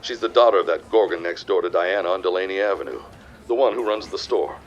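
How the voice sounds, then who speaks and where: A man's voice: deep voice, quick pace, dull audio, slight background noise, lightly acted. An actor playing an arrogant, scheming 1910s businessman with haughty, formal diction in an audio drama. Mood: curt, scheming. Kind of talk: period audio drama acting.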